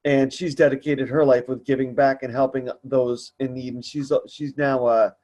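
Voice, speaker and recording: A man hosts a support group call in a casual, conversational way. He sounds earnest and encouraging, with a middling pitch, and tends to ramble as he shares. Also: little pitch movement, moderate pace, a little mellow.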